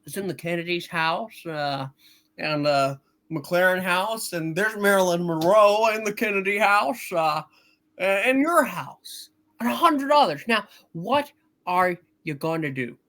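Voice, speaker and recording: An earnest, flustered young boss acted by a man in a hammed-up radio play. He stammers and presses his points urgently. His voice is sharp and bright, leaping up and down with emphasis. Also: sits high, animated, a little slow, exaggerated voice. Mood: pleading. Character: earnest, flustered young male building-and-loan boss pleading with crowd.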